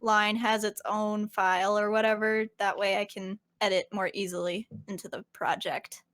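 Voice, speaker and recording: A woman's voice: medium pitch, little pitch movement, normal speed, fairly bright tone, no room sound. A woman who voice acts and edits, chatting casually about her work. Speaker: fan dub voice actor and editor. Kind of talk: casual chat.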